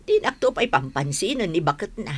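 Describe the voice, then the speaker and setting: A Filipino woman playing a gossipy, disapproving older village mother in a radio drama, with a husky voice. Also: fairly low pitch, very animated, a little fast, dry recording.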